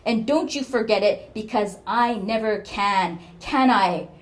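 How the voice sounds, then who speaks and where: A woman voicing a cruel, bedridden old mother who snaps and blames her daughter in a shrill, scolding voice. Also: a little slow, darkish tone, natural voice. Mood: accusing.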